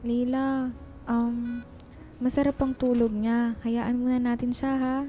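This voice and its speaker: A woman playing a caring, supportive young friend in a radio drama, speaking in a soft, reassuring way. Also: a little high, little pitch movement, takes it slowly, soft background music. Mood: gentle, consoling.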